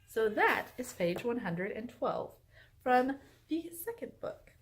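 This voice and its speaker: A woman with a slightly high voice speaks as a book vlogger. Her delivery is animated and expressive, with very lively intonation, moving easily between casual chat and storytelling from novels. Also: takes it slowly, a little hiss or hum. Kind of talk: chatty vlog with expressive read-aloud book excerpts.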